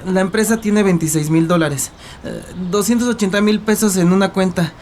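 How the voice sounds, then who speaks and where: A man's voice: sits high, fairly quick, darkish tone, a little hiss or hum, lightly acted. An actor playing a frightened, hesitant father who pleads and stammers in an audio drama. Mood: nervous, submissive. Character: frightened father pleading with his son's kidnappers.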